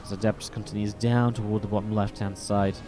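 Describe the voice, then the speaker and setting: A man's voice: lowish voice, a little fast, music in the background. Sniffly man casting a live game. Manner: steady, builds excitement in fights. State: sniffly with a slight cold. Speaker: esports caster. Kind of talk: solo play-by-play commentary.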